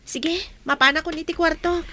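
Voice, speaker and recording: A woman plays a gentle, trusting young student in a comedy radio drama. Her voice is very high, with an Ilocano accent. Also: fairly even intonation, normal speed, no echo. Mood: tired, polite.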